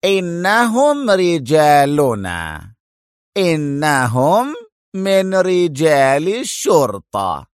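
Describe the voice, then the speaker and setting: A man voicing a sarcastic, hot-tempered police inspector with a mocking, sharp manner in a dramatized audiobook. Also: fairly high pitch, wildly swooping pitch, drawn-out words, clean tone, dry recording, studio quality, pitched above the performer's own voice, exaggerated voice. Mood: stern.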